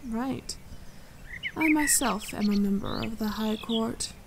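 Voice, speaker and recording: Slow, creaky regal fae woman played by an actress. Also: on the high side, noisy background. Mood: composed, wry. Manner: measured, composed, gently condescending to the listener. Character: tall, regal fae court leader, dryly amused, protective. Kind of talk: slow, intimate ASMR fantasy roleplay. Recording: forest ambience with birdsong. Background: birdsong and forest sounds.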